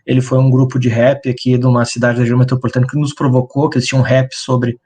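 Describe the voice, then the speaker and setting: A filmmaker from Porto Alegre with a southern Brazilian accent, speaking over a video call in a thoughtful, hesitant way. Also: male voice, mid-range voice, little pitch movement, fast, mellow. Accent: Rio Grande do Sul Portuguese. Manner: thoughtful, hesitant, many fillers. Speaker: filmmaker guest. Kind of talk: casual video-call interview.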